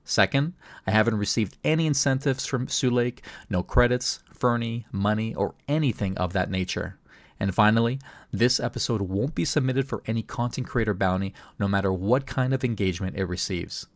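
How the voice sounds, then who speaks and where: A man with a deep-set voice hosts a podcast. He speaks casually and conversationally, addressing his guest and audience in an informal interview style. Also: normal speed. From Canada. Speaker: podcast host.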